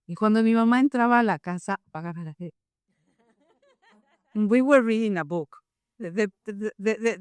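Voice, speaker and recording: A woman's voice: lowish voice, a little mellow, smooth, no echo, studio quality. A woman moderating a live panel discussion, chatty and enthusiastic, slipping between Spanish and English as she poses questions. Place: library event space.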